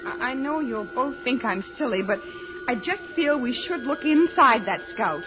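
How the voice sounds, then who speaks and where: A high-voiced, earnest space heroine. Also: female voice, lively intonation, moderate pace. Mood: pleading, relieved. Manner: eager, pleading. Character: earnest, anxious, curious young woman space adventurer from Earth. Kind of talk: old-time radio serial acting. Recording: dull old radio recording with music.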